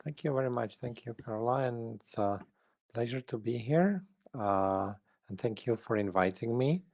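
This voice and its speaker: Czech-accented man, middle-aged, low voice, dry close sound, careful lecturing with fillers. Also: no echo. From Czech Republic. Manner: careful, hesitant, frequent fillers and restarts. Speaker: guest lecturer. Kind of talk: academic presentation.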